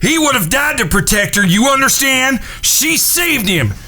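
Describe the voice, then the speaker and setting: A man plays a fiercely loyal frontier outlaw. The voice is bright and hard-edged, swinging widely in pitch, blunt and hot-tempered in western audio drama style. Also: very animated, moderate pace, slight background noise, full character voice. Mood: furious. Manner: blunt, profane, forceful.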